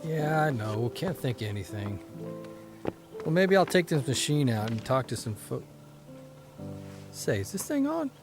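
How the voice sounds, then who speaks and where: An actor playing a restless, good-humored newspaper columnist who talks in folksy banter. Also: male voice, mid-range voice, big pitch swings, a little slow, pauses often, over music. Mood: easygoing. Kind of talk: audio drama acting.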